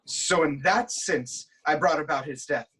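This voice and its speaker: A man's voice: on the high side, measured pace, bright, forceful tone, husky, exaggerated voice, low-quality recording. An actor playing a proud, troubled king haunted by prophecy, in an intense, declamatory reading of Greek tragedy. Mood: shocked, bitter.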